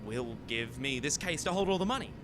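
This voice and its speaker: A man playing a gullible, excitable time traveller in a radio farce, eager and easily flustered. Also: a little fast, music in the background, natural voice. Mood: excited. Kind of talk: radio play farce acting. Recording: clear background noise with vehicle-like rumble.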